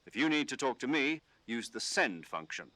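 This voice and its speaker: A man voicing a calm commanding officer who gives instructions over the radio in a game. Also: medium pitch, dry recording. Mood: steady. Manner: measured, instructive briefing tone. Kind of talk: game dialogue.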